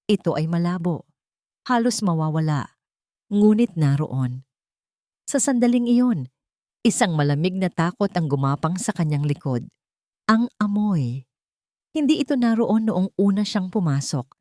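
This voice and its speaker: A woman's voice: fairly low pitch, darkish tone, no room sound. Filipino woman narrating drama, close and dry. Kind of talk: scripted dramatic story narration.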